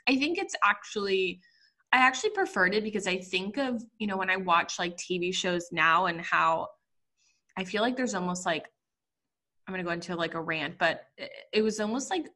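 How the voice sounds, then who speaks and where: A woman's voice: medium pitch, expressive, normal speed, pushed and bright. A young woman from Puerto Rico hosting a casual podcast interview, chatty and full of asides. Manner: chatty, many fillers and asides. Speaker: podcast host.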